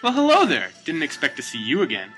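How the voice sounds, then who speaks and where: A sharp, forceful cartoonish villain acted out by a woman for a noir radio play, male, shifty and scheming. The delivery is hammy and evasive, swooping widely, with faint music behind. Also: deep voice, wildly swooping pitch, fast, full character voice. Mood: smug. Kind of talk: amateur noir radio drama acting.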